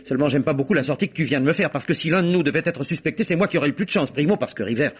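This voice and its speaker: Earnest, fast-talking, husky friend. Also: male voice, on the high side, expressive. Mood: stung. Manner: colloquial, argumentative, insistent. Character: earnest, reasoning male friend, easily stung. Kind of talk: French radio mystery drama acting. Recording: dull, muffled radio drama recording.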